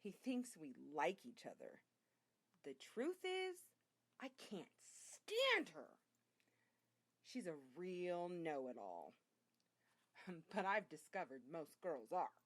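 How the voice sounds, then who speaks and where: Slow, highly animated woman storyteller. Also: no room sound. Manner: expressive, storytelling delivery. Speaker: reader. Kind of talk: children's audiobook narration.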